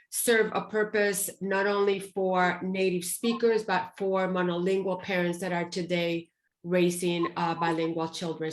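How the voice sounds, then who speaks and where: Woman in an echoey room. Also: lowish voice. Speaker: guest author of parenting and children's books. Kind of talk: conversational panel introduction. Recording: echoey room on online call.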